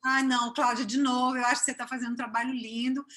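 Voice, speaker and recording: Mulher baiana de voz aguda e brilhante. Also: female voice, on the high side, level tone, very fast. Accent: Brazilian Portuguese, from Cachoeira (Bahia). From Brazil. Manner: warm, chatty, many fillers and restarts. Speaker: interview guest. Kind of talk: casual interview chat.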